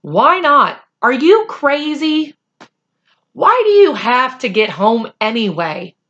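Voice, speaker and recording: Woman voicing a high-pitched, incredulous schoolboy. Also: very animated, slow, fairly bright tone, dull audio, exaggerated voice. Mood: disbelieving, pushy. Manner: incredulous, challenging questions. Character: skeptical, teasing schoolboy, incredulous best friend.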